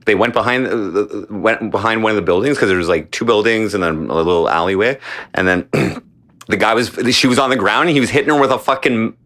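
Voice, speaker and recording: A man's voice: on the low side, brisk, fairly bright tone. A man telling a long story in casual podcast chat, rambling, swearing a lot and restarting his sentences. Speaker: streamer guest.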